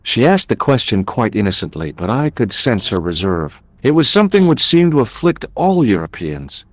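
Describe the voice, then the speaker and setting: An actor playing an earnest, wry young American amateur sleuth in an adventure game, speaking with an American accent. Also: male voice, mid-range voice, sing-song, normal speed, dry recording. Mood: wry, reflective. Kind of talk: adventure game dialogue and narration.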